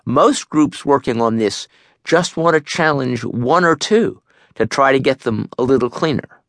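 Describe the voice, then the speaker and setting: A man reads a nonfiction memoir as an audiobook narrator, measured and articulate, in a studio. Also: mid-range voice, lively intonation, no room sound.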